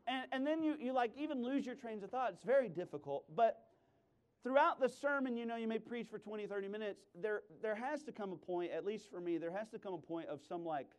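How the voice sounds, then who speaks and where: A man preaching in an emphatic sermon style, with sudden loud exclamations and playful, self-deprecating humor. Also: sits high, brisk, smooth. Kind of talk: animated sermon with humorous storytelling.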